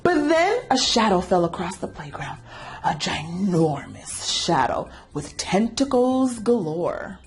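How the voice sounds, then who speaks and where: A woman storyteller reading aloud for children, animated and engaging listeners with questions. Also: mid-range voice, takes it slowly. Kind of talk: expressive children's read-aloud narration.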